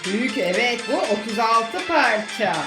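A woman's voice: a little high, lively intonation, a little slow. A woman chatting casually with a young child and narrating the activity in an encouraging way, as a mother does. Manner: encouraging, praising. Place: indoors at home, echoey room. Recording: echoey room with music behind.

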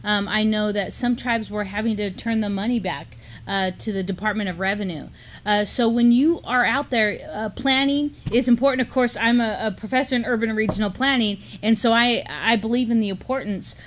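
A woman's voice: medium pitch, fairly quick, slight background noise. Middle-aged woman giving explanatory news commentary. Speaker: news show co-host, professor of urban planning. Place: indoor studio at a desk.

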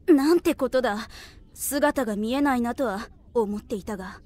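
A woman's voice: fairly high pitch, normal speed, darkish tone, close to an everyday voice. A woman playing a cool, haughty rich student with formal, mannish wording in an anime audio drama. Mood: composed concern.

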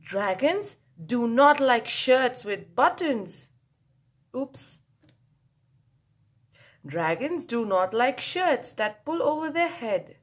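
A woman storyteller reads a picture book to young children in a high, playful voice. She takes her time, stretching key words for emphasis. Her delivery swings widely and expressively from line to line. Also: sing-song, slow, long pauses. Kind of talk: animated picture-book read-aloud for children.

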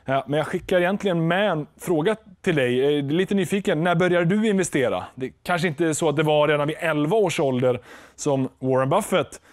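A man's voice: on the high side, no room sound. A young man explaining investing in front of a chart screen in a studio, speaking steadily into a lapel mic. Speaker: finance educator.

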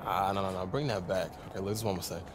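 A man's voice: low-pitched, steady tone, rapid-fire, lightly acted. A man playing a jittery, eager young college basketball teammate in a video game, chatty and informal. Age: young adult. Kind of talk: cinematic game dialogue. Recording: clear ambient noise behind the voice.

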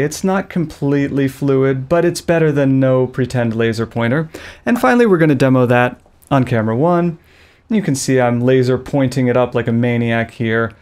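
A man's voice: mid-range voice, darkish tone. Playful man hosting a tech tutorial. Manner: playful, goofy asides. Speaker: video tutorial host. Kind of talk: loose, jokey tech tutorial. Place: small studio with a blue backdrop. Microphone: studio mic on a boom arm, close.

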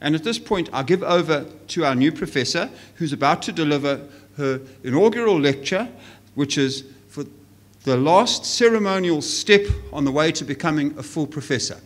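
A man's voice: on the high side, lively intonation, normal speed. An older man at a podium giving a formal introduction, reading out a biography with small asides. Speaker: academic introducing the speaker. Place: large hall, at a podium. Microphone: podium microphone.

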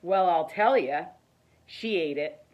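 A middle-aged woman reads a children's picture book aloud in an expressive, deliberate storytelling manner. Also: lowish voice, lo-fi sound, slight background noise. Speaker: teacher reading aloud. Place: living room, indoors.

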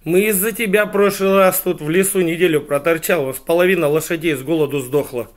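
Man acting a loud exasperated bandit chief. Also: a little high, pitched above the performer's own voice, lightly acted. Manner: loud, drawn-out protesting. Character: exasperated male bandit chief refusing a polite hedgehog.

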